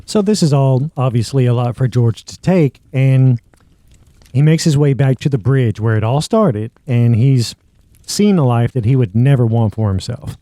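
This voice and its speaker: Male podcast narrator, mid-pitched, very mellow and relaxed, conversational, dry sound. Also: moderate pace, dry recording. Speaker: podcast host. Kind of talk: conversational narration of a story recap.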